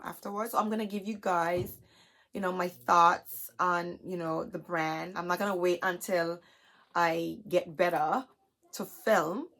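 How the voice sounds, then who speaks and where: A young adult woman with a hoarse, scratchy, chesty voice, chatting casually to camera as a vlogger and filling her talk with "you guys". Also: on the low side, fairly bright tone. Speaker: beauty vlogger. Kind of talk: casual haul chat to camera.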